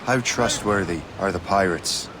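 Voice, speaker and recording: A man's voice: deep voice, normal speed, crowd noise. A man playing a plain-spoken, wary young Assassin in a video game, casual and questioning. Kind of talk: video game dialogue acting. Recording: very noisy outdoor ambience.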